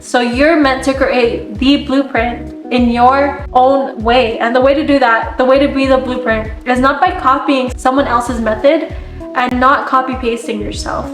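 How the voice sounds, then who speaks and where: A young woman giving a casual motivational talk straight to her viewers, earnest and full of "like" fillers, her voice a bit worn and sniffly. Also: high voice. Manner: earnest, frequent "like" fillers, direct address. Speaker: self-help content creator. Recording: echoey room with faint music.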